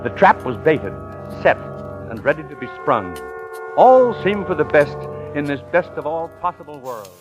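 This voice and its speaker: Florid, smooth-talking con man, theatrical swings. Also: on the high side, very animated, normal speed, muffled recording, music in the background. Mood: sly, satisfied. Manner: pompous, florid, chuckling wordy speech. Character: genial, smooth-talking male confidence man posing as professor. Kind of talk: 1940s radio acting, first-person narration and dialogue. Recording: vintage radio drama recording.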